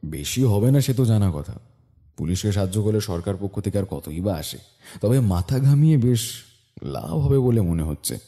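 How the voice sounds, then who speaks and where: An actor playing a shrewd, self-assured private detective in an audio drama, reasoning aloud in a measured, dry way with thoughtful hums. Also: male voice, fairly low pitch, animated, dark tone. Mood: confident.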